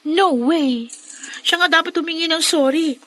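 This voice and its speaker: A proud, sharp-tongued young Filipino daughter in a radio drama. Her voice is high and forceful, with an indignant, sassy delivery. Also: measured pace. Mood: defiant. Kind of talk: Tagalog radio drama acting.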